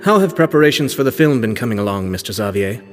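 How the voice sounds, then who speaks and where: A man voicing a composed, courteous young nobleman in a video game, speaking formally and with measured politeness. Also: medium pitch, lively intonation, faint music behind, natural voice. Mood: businesslike, considering.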